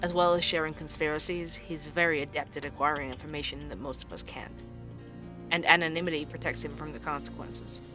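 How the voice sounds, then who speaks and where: A man playing an earnest, dogged investigative podcast host in an audio drama, with a crisp, measured delivery. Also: fairly high pitch, steady tone, music in the background, lightly acted. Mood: earnest, narrating. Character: male investigative podcast host, dogged, weary young employee. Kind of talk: scripted podcast narration and audio drama dialogue.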